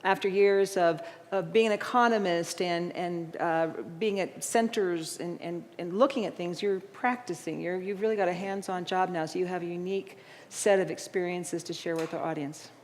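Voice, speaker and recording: A woman's voice: fairly low pitch, moderate pace, slight background noise. A woman moderating a public panel, chatting easily through her introductions with a few fillers. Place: large event hall. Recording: long hall reverb.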